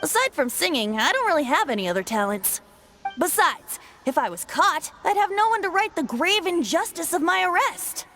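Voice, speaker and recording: A cheeky young male bard from a fantasy game, secretly a god and fond of grandiose flourishes. The voice is high and swoops animatedly as he cajoles, with soft music behind. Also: sing-song, moderate pace, exaggerated voice. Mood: sly, persuasive. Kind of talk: fantasy video game voice acting. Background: background music.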